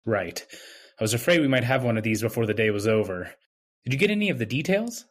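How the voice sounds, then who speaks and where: A man's voice: medium pitch, animated, fast talker, natural voice. A man playing a mild young hospital intern in a radio drama, casual and agreeable. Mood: concerned, professional. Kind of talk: old-time radio drama acting.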